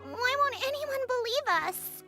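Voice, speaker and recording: Small earnest game creature: childlike, very high and highly expressive, music behind. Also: female voice, a little slow, full character voice. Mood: dejected but determined. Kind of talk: video game voice acting.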